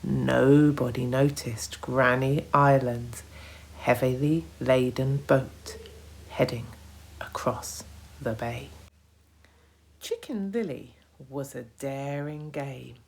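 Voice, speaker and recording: A woman narrating a children's storybook in a deliberate, expressive way, as a storyteller to young listeners. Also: a bass voice, gravelly. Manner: deliberate, expressive, hushed at times.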